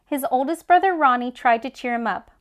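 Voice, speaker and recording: A woman reading a children's story aloud with expressive storybook narration. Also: sits high, brisk. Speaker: children's read-aloud host.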